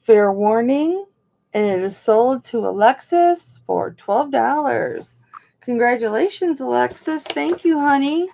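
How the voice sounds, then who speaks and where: Slow, gravelly-voiced woman auction host. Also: on the high side, animated, warm tone, dull audio, a little hiss or hum. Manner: chatty, greets viewers by name. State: slightly hoarse. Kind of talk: casual live-stream auctioneering.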